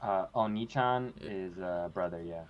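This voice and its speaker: A man's voice: low-pitched, a little slow, dull audio. A young man chatting casually with a friend, giving dry, teasing short replies. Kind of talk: casual chat.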